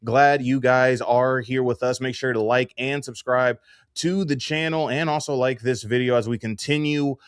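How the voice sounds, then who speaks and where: A man's voice: medium pitch, fairly even intonation, normal speed, smooth, dry recording. A man with a mid-range voice hosts a sports radio interview. His delivery is upbeat and conversational, and the sound is close and dry, with very little room on it. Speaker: radio sports show host.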